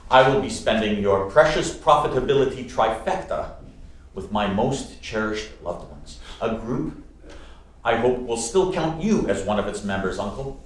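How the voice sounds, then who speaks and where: An actor playing a warm-hearted, exasperated nephew in a radio play, speaking in an earnest, wry, articulate way. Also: male voice, on the low side, lively intonation, moderate pace, natural voice. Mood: earnest, hurt. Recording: echoey room, distant mic.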